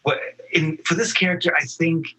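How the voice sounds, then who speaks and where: Bright, husky man talking earnestly. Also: on the high side, steady tone, normal speed, poor audio. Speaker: actor, podcast guest. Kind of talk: casual interview talk.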